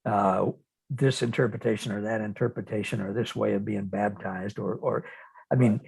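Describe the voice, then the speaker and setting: A man interviewing in a reflective, conversational style, speaking haltingly and thoughtfully and often repeating words. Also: fairly low pitch, fairly even intonation, vocal fry, a little mellow, husky, poor audio.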